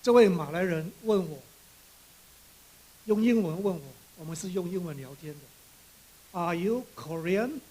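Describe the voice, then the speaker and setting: A man from Borneo, giving a prepared speech as an author in measured, halting short phrases. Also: high voice, animated, warm tone, slightly rough, low-quality recording. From Borneo, Malaysia. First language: Chinese.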